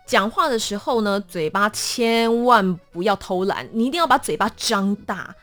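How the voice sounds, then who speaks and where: A woman's voice: medium pitch, moderate pace, faint music behind. A woman with a Taiwanese accent chatting casually and expressively as an interview guest. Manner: animated, expressive storytelling.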